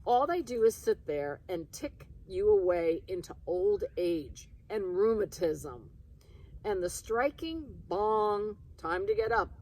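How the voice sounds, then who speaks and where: A woman's voice: medium pitch, lively intonation, slow pace, a little mellow, voice pushed up, poor audio. A woman voicing a fussy, dramatic middle-aged aunt from a storybook, exclaiming and complaining. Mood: exasperated.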